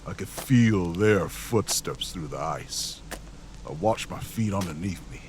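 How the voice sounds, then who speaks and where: A watchful lone traveler narrating an audio drama. His voice is fairly low, deliberate and ominous, in terse phrases. Faint noisy ambience sits behind him. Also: animated. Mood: tense, hushed awe. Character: grave, watchful lone traveler on a frozen lake.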